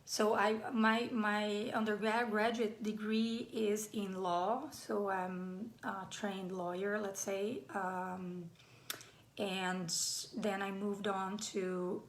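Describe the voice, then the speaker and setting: Brazilian woman in her 40s, playwright speaking live online, gravelly and unhurried, roomy echo on a computer mic. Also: medium pitch, little pitch movement. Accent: Brazilian Portuguese. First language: Brazilian Portuguese. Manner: hesitant, many fillers and restarts. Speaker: playwright giving a talk.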